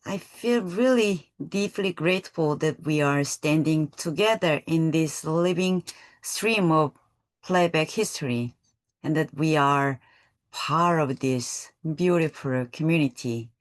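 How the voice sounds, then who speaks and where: A woman's voice: sits low, slow, no echo. A woman from South Korea, a school head speaking heartfelt words over a video call. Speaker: school head and board member.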